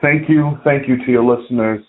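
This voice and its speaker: An American man leaving a voicemail as a podcast listener, giving earnest political commentary in deliberate, emphatic phrases over the telephone. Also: medium pitch. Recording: tinny narrow phone-line voicemail.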